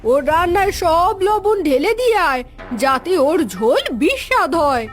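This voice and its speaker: Woman voicing a very high-pitched scheming village housewife. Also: big pitch swings, moderate pace, faint music behind. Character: scheming, competitive village housewife, jealous of her rival. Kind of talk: cartoon fairy-tale voice acting.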